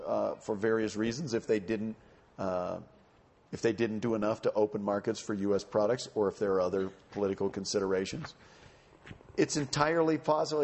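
A man on a panel answering audience questions in a measured, explanatory way. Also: medium pitch, a little mellow. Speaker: panelist speaking for a campaign.

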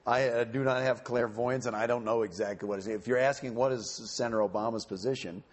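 A man on a panel answering audience questions in a measured, explanatory way. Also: medium pitch, steady tone. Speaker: panelist speaking for a campaign.

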